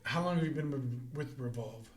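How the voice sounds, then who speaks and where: A man's voice: mid-range voice, moderate pace. A man hosting a podcast, asking questions in a teasing, jokey way. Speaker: podcast host. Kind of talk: casual interview chat. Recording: echoey room.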